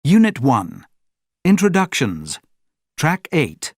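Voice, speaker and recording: A man announcing course audio tracks in a crisp, formal way. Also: fairly high pitch, huge pitch swings, slow pace, no room sound, studio quality.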